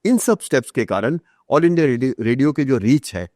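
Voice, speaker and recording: A man's voice: mid-range voice, sing-song, a little fast, a little mellow, no echo. Articulate Indian man, dry close sound. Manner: articulate, emphatic repetition, Hindi mixed with English terms. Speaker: author guest. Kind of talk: podcast interview, explanatory talk.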